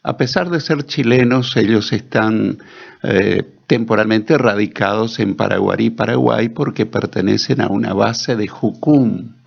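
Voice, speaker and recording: Man, church program host, very gravelly, breathy rasp, slow deliberate questions, muffled lo-fi sound. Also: fairly low pitch. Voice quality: gravelly, husky voice. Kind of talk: friendly church radio interview. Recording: dull lo-fi sound.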